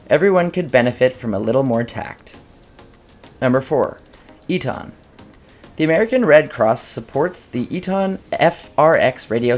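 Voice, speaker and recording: Male narrator for scripted product countdown: creaky mid-range voice, formal measured phrasing, light music bed. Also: medium pitch. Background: background music.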